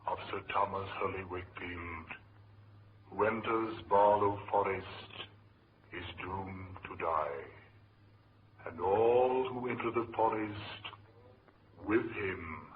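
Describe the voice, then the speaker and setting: A solemn, portentous doom-sayer in a 1940s radio horror play. His voice is low and very slow, on a muffled, noisy old broadcast recording. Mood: ominous. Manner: deliberate, portentous. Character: ominous, solemn man pronouncing an ancestral curse. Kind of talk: 1940s radio drama acting.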